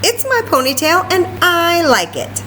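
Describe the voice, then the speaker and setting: A woman's voice: very high voice, big pitch swings, a little slow, bright, forceful tone, voice pushed up, full character voice, poor audio. A woman plays a headstrong little storybook schoolgirl, sure of herself and defiant. The voice is raised and emphatic, stretching words out, with faint noise behind. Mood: proud, defiant. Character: stubborn, self-assured little schoolgirl in a storybook.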